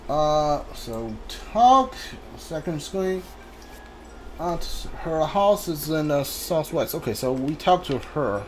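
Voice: medium pitch, very animated, slow, darkish tone, slight background noise. A video game streamer giving casual commentary and reading on-screen dialogue aloud, muttering informal asides. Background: video game ambience.

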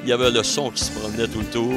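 A man's voice: mid-range voice, level tone, racing. An older man with a Quebec French accent, reminiscing enthusiastically in an interview on a handheld microphone. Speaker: interviewed music fan. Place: busy venue lobby, indoors. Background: music.

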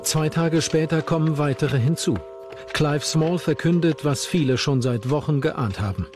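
A man narrates a documentary from a script at a medium pitch. Music plays behind his voice. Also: moderate pace.

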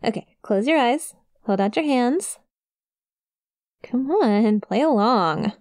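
A woman's voice: a little high, animated, slow pace, a little mellow, no room sound, studio quality. A woman playing a friendly, awkward young succubus demon in an intimate ASMR roleplay, with a shy, casual, hesitant manner. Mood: shyly excited. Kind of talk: ASMR roleplay, intimate one-sided conversation to the listener.

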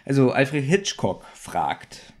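A man's voice: mid-range voice, huge pitch swings. A man co-hosting a podcast, chatting casually about a radio play, often laughing and stumbling over his words. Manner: giggly, halting with fillers. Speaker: podcast co-host.

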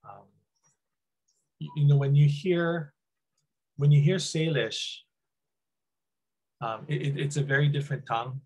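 A man's voice: fairly high pitch, fairly even intonation, measured pace, pauses often, a little mellow, breathy edge, low-quality recording. A middle-aged man from Hawaii, giving a casual, rambling talk over a webcam call, with lots of ums and restarts. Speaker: guest speaker. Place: small room indoors. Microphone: webcam on video call.

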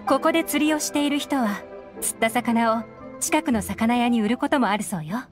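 Woman acting cool shrewd young operative, high voice, unhurried, teasing, video game, music behind. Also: lightly acted. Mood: calm, teasing.

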